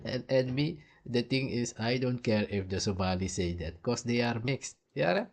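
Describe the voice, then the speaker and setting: A man's voice: medium pitch, moderate pace, gravelly. A man from Suriname, hosting a heated, blunt and profane reaction commentary. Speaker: commentary host.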